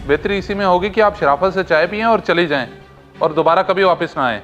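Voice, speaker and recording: A man's voice: high-pitched, fairly quick, fairly bright tone, highs cut off, faint music behind. A man acting a hot-tempered, stern husband with a blunt manner in a moral drama. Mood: cold, threatening. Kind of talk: acted moral-story drama dialogue.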